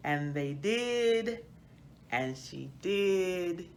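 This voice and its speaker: Very slow-paced woman reading a children's story. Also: on the low side, animated, slight background noise. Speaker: host reading a children's book aloud.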